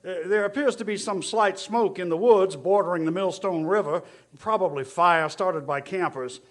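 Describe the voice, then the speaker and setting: An actor playing an army captain giving a field report in a radio drama, with a clipped, official manner. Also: male voice, sits high, moderate pace, close to an everyday voice. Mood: official, confident. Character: confident male army signal corps captain reporting from battlefield. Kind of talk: stage radio drama acting.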